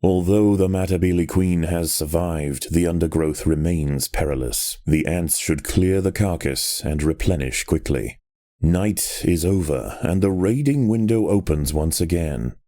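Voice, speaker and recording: A man narrates in the manner of a nature documentary, with a very deep, mellow voice. His delivery is measured and solemn, with a sense of drama. The sound is dry and close, as scripted game narration. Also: dry recording.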